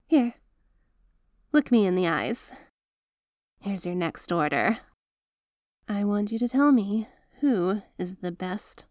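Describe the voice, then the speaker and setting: A woman's voice: medium pitch, darkish tone, dry recording, clean studio sound. A woman playing a doting, bashful yet possessive young mafia heiress in an intimate ASMR roleplay, speaking to the listener in a hesitant, coaxing way. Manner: hesitant, coaxing, affectionate, halting phrasing.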